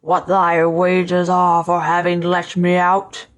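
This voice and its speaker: A woman voicing a huge, terrible male spirit in a storybook, with slow, booming, imperious and archaic speech. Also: completely flat delivery. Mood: menacing. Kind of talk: storybook character voice.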